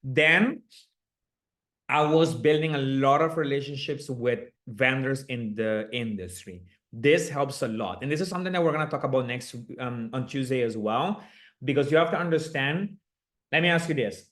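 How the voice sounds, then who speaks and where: A man's voice: mid-range voice, moderate pace, a bit bright. Man, slight Aruban accent, upbeat, chatty, live online coaching, video call. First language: Papiamento. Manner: upbeat, chatty, laughs often.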